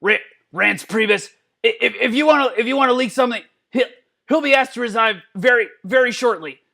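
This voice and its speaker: A man voicing a belligerent, drunken old mad scientist in a cartoon-style comedic reading, gravelly and stammering, repeating himself and cursing. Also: extremely high pitch, fairly quick, pushed and bright, exaggerated voice. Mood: angry, threatening. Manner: stammering, repeating words, profane.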